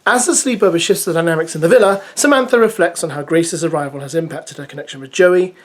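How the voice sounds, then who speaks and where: A vlogger recaps a dating show. The delivery is chatty and opinionated, with exasperated asides. The voice sits in a middling pitch. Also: quick pace. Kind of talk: reads an episode preview, then chats with opinions.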